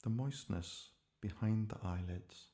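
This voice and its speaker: A man gently leading a guided relaxation meditation in a calm, measured way, leaving pauses between short phrases. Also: lowish voice.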